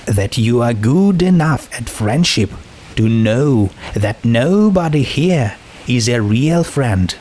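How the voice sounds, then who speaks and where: A man's voice: medium pitch, expressive, takes it slowly, a little mellow. A man voicing a smug, cheerfully cruel young pony princess in a story, condescending and sweetly menacing.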